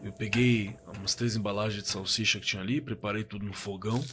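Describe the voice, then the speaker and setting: An actor playing a shaken young man who recounts a frightening memory in a halting, colloquial audio drama testimony, searching for words. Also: on the low side, fairly even intonation, pushed and bright, slight background noise. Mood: uneasy recounting. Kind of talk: audio drama testimony, spoken as casual recollection. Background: suspense background music.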